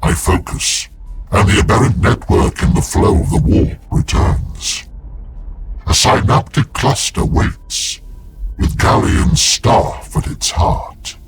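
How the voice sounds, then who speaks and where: A man playing a grim, weary veteran space marine psyker in a video game, narrating solemnly in a breathy, gravelly voice. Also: mid-range voice, expressive, slow pace, over music. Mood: haunted, resolute. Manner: solemn, portentous. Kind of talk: dramatic scripted game narration.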